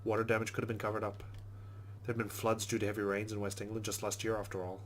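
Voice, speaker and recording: Guy doing a lets play, lowish voice, casual commentary and reading text out, bit of hum and noise. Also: completely flat delivery, quick pace. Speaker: let's play host.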